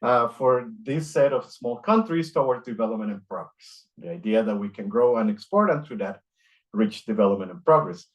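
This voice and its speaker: A middle-aged man from Costa Rica giving an academic lecture, reading from notes in a measured way with frequent "uh" fillers. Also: on the low side, animated. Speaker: guest lecturer.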